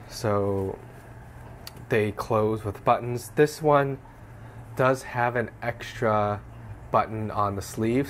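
A young man talks through an unboxing in a small room at home, his lowish voice a little hoarse from a cold. He explains things in a relaxed, easy way, with plenty of "like" along the way. A faint background hum sits under his voice. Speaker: fashion vlogger. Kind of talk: casual unboxing narration.

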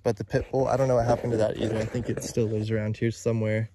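A young man in his twenties vlogging by phone at arm's length outdoors, talking in an excited, chatty way full of "dude" and "like". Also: lowish voice, rapid-fire, noisy background. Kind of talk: casual vlog narration. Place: outdoors at an abandoned waterpark. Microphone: phone held at arm's length.

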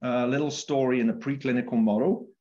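A man's voice: medium pitch, steady tone, fairly quick, mellow. A middle-aged man presenting research findings on a video call, explaining step by step. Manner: explanatory, with fillers and restarts. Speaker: chief scientific officer. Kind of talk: webinar presentation. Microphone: webcam on a video call. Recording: dull lo-fi call audio.

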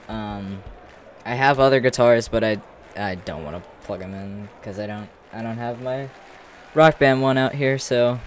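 A person giving casual gameplay commentary in a halting way with false starts, in a room at home. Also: medium pitch. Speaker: streamer demonstrating a game.

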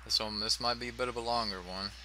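A man's voice: medium pitch, steady tone, fast, pushed and bright, slight background noise. A man giving casual, sweary and self-deprecating commentary as he plays a video game. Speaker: let's player.

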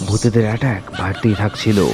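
A man's voice: lowish voice, steady tone, fast talker. A man delivering scripted narration for a cartoon ghost story. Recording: music behind the voice.

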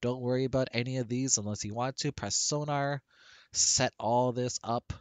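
A man with a deep voice, talking through a casual software tutorial on a headset microphone and often restarting his sentences. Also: steady tone, normal speed, no echo. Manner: rambling with frequent restarts and fillers. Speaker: tech tutorial creator.

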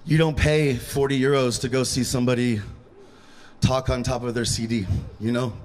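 A man's voice: medium pitch, moderate pace. A man in his thirties talking casually about street music and live shows, holding a handheld mic in an outdoor courtyard. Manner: relaxed, conversational teaching. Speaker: rapper. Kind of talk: outdoor rap workshop talk and live rapping. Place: outdoor courtyard at a small event. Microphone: handheld microphone. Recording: loud hip hop beat behind. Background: hip hop beat.

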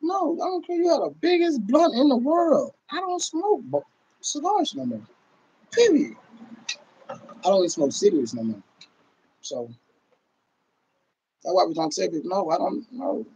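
American male streamer, middle-aged, husky and high for a man, blunt, sweary, casual rant. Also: very animated, normal speed, pauses often, dark tone, low-quality recording. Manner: blunt, profane, emphatic, repeats himself. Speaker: livestream host. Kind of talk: casual livestream rant to viewers. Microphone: phone or webcam at arm's length.

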